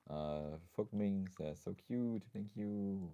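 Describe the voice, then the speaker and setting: Super deep mellow guy chatting on a stream, slightly scratchy, thinks out loud. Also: slow pace. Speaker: livestream host. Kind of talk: casual livestream chat.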